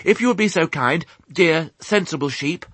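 A small young boy piglet from a storybook, pitched far up from a grown man's range. He is earnest and courteous, with well-spoken, brave innocence. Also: expressive, moderate pace, fairly bright tone, husky, dry recording. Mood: pleading, polite.

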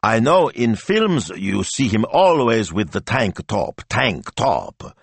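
A man's voice: lowish voice, sing-song, takes it slowly, vocal fry, breathy edge, no echo, pitched above the performer's own voice, exaggerated voice. A man voicing an impatient, scheming middle-aged man who speaks theatrically. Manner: exasperated, theatrical.

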